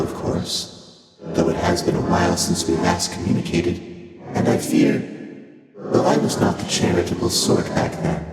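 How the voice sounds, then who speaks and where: An actor playing an ancient, aloof primordial deity in a video game, speaking calmly and cryptically in formal, measured, archaic phrasing, with faint amusement and layered otherworldly processing. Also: male voice, low voice. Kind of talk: video game character dialogue. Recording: cavernous long reverb, loud music behind.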